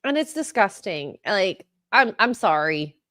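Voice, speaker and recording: A woman co-hosting a casual livestream chat, animated and teasing, with frequent laughter. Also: medium pitch, measured pace, no room sound, clean studio sound. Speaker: co-host.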